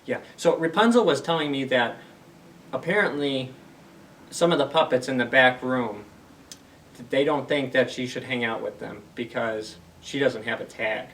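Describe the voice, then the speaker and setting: A man's voice: medium pitch, normal speed, fairly bright tone, slight background noise. Distant-miked man hosting children's story time. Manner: simple explanations and questions to young listeners. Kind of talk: read-aloud storytelling for young children.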